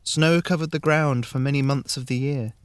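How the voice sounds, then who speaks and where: A man narrating a scripted fairy tale in the first person, measured and with dramatic pauses. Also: medium pitch, fairly even intonation, smooth, no room sound. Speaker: story narrator.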